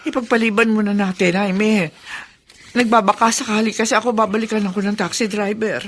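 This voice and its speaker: A woman's voice: mid-range voice, steady tone, a little fast, close to an everyday voice. A woman playing a forgetful, flustered wife in a radio drama. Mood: anxious. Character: forgetful, flustered wife who lost her money.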